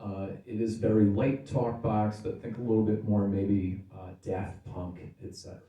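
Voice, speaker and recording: A man with a fairly low, somewhat mellow voice talks through a product demo. His delivery is informal and explanatory, like a gear reviewer chatting to viewers. The sound is noticeably roomy. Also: little pitch movement, normal speed. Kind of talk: casual product demo talk.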